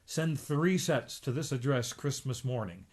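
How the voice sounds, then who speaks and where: A man's voice: medium pitch, expressive, unhurried, a little mellow. A man voicing a smug, scheming, self-satisfied adult gander while reading a comic book aloud.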